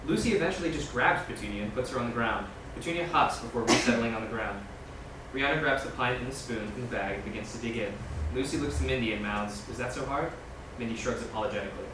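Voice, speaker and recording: A man's voice: mid-range voice, quick pace. A man reading screenplay scene directions aloud as scripted narration at a table read. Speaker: stage directions reader. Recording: very echoey room, distant mic, noisy.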